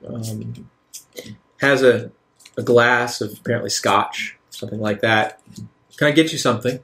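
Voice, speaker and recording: A man narrating a tabletop game as game master, improvising descriptions in a halting way. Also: medium pitch, a bit bright.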